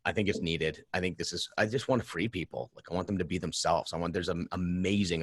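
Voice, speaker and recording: A man's voice: low-pitched, fairly bright tone, husky, no room sound, studio quality. A man hosting a podcast in casual interview chat, effusive and rambling, often repeating his words. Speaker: podcast host.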